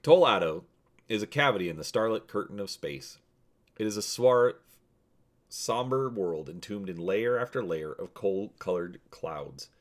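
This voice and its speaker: A man's voice: lowish voice, expressive, unhurried. A man hosting and narrating a dramatic reading of an adventure storybook. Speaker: host and narrator.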